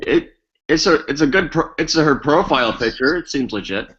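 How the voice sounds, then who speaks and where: Man with a bright tone cracking dry jokes on a group call, lo-fi sound. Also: fairly high pitch, animated, fairly quick. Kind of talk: rowdy group video chat. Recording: dull lo-fi call audio.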